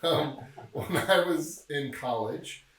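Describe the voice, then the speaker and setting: A middle-aged man from Memphis, Tennessee, talking casually as a podcast guest and telling stories with a joking, relaxed manner. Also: fairly high pitch, takes it slowly. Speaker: podcast guest, film producer. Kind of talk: casual interview chat. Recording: echoey room, distant mic.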